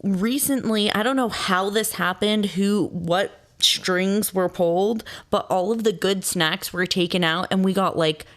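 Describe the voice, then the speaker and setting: A young woman co-hosting a casual podcast, playful and animated. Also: mid-range voice, a little slow, hardly any pauses. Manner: animated and playful, laughs along. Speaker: podcast co-host. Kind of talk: casual chat.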